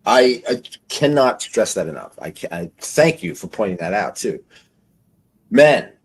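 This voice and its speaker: A man in his forties hosting an interview, talking in a rambling, halting way with many fillers. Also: lowish voice, very animated, breathy edge. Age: 40s. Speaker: host. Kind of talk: podcast interview.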